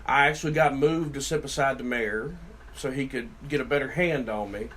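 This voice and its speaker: A man's voice: mid-range voice, fairly quick. A middle-aged man co-hosting a casual talk podcast, telling rambling folksy stories in a big voice that carries. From Virginia, USA. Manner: folksy, rambling storyteller, loud voice. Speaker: podcast co-host, city councilman. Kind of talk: casual chat.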